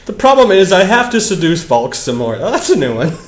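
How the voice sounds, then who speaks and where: A man's voice: high-pitched, big pitch swings, brisk, echoey room, a crowd in the background. A man giving a mocking dramatic reading with casual, amused, sarcastic commentary. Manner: amused, sarcastic, often breaking into giggles. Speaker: commentary show host.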